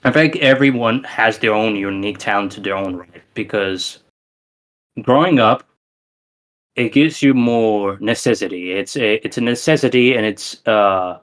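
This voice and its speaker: A man with a Romanian accent, a musician guest on a casual podcast, talking in an emphatic, rambling way with many restarts. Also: fairly low pitch.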